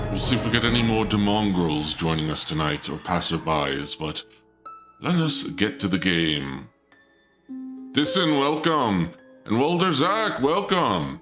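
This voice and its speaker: A man live-streaming a game, chatting casually with his viewers in a playful dark-lord persona; he is sniffly from a cold and often stumbles over his words. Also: fairly low pitch.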